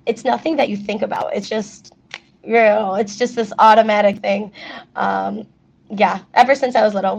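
Chatty woman with a gravelly voice. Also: fairly high pitch, darkish tone, lo-fi sound. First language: Spanish. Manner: chatty, many fillers, quick laughs. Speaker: interview guest, athlete. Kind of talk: casual interview chat.

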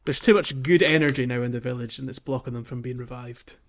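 A man's voice: mid-range voice, quick pace. A man co-hosting a gaming podcast in a casual, conversational way. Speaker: podcast co-host. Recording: dull, lo-fi voice recording.

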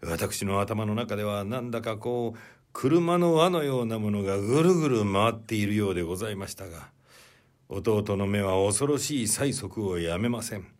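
A man playing a humble, troubled man in a period literary story, recounting his brother's death politely and in measured phrases. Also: lowish voice, expressive, a little mellow, natural voice. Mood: troubled, subdued.

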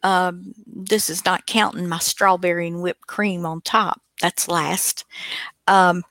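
A woman's voice: on the low side, steady tone, slow pace, a bit bright, dry recording, studio quality. A woman co-hosting a show with casual, rambling, folksy and teasing unscripted chat. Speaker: co-host of an old-time radio online show.

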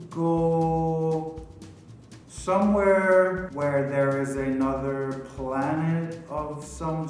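Very slow-talking man, pondering. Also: a little high, darkish tone. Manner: halting, pondering. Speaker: performer answering questions. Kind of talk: casual thinking aloud. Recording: very echoey room, music behind.

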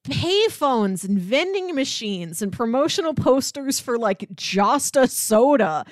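A woman's voice: on the high side, sing-song, slow, no room sound. A woman podcast co-host in casual film discussion, thinking aloud and talking through examples in a conversational way.

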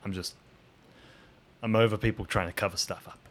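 A man thinks aloud while playing his character, pausing and restarting his sentences. His voice is low but bright and forceful. He sounds unsure as he works through his thoughts. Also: fairly even intonation. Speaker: player. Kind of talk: improvised audio drama play.

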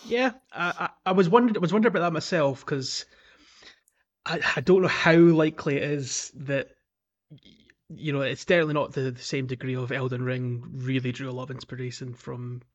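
A man's voice: medium pitch, fairly quick, highs cut off. A man chatting casually as a gaming podcast co-host, hesitant and rambling, with frequent chuckles. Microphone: remote call.

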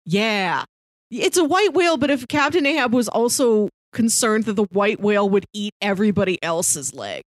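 A woman's voice: medium pitch, lively intonation, normal speed, no echo. A woman co-hosting a casual, conversational discussion about film. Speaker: podcast co-host.

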